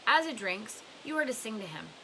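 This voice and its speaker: A woman's voice: fairly high pitch, sing-song, a little fast, bright, forceful tone, a little hiss or hum, low-quality recording. A woman reading a storybook as a blunt, scornful old woman who gives emphatic advice. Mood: firm, instructive. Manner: emphatic, questioning, instructive.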